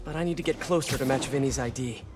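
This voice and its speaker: A man's voice: medium pitch, fast, lightly acted. A man voicing an earnest, wisecracking young American masked hero in a video game, muttering quips to himself in a naturalistic way. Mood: anxious, concentrated. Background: music.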